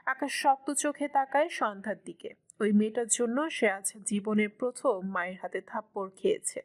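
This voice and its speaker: Casting: female narrator for scripted fiction, slightly high register, storytelling delivery. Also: moderate pace.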